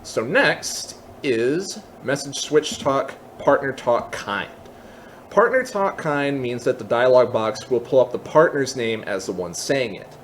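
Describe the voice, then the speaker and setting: A man giving a casual software tutorial, explaining each step in an informal, candid way as he thinks aloud. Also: mid-range voice, lively intonation, a little slow, slight background noise. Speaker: tutorial host.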